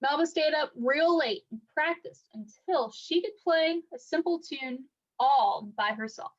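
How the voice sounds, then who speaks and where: Woman, high voice, children's read-aloud, expressive and deliberate, dull recording. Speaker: teacher reading a picture book aloud.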